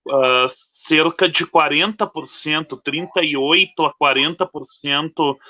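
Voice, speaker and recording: A man's voice: on the high side, a little slow, pushed and bright. A man on a discussion panel, explaining steadily and often ending with a tag question. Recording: dull lo-fi call audio.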